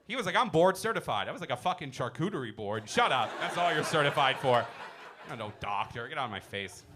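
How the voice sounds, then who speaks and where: A young man from Iran doing a brash, animated stand-up set in a comedy club and teasing the crowd on a handheld mic. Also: fairly high pitch, fairly quick, noisy background. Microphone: handheld stage mic.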